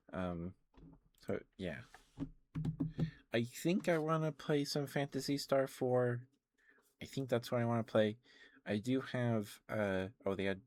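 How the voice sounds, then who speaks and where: Low, slow-talking man chatting on stream. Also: a little mellow, a little hiss or hum. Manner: halting, hesitant, musing. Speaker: live streamer, video game streamer. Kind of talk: casual rambling stream chat, ASMR stream.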